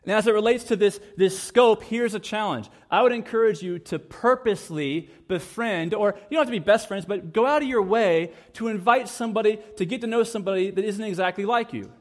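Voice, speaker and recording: A pastor preaching a sermon to his church. His voice sits on the higher side for a man, and he speaks earnestly, driving points home by repeating them. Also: brisk, few pauses. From United States. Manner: earnest, with emphatic repetition.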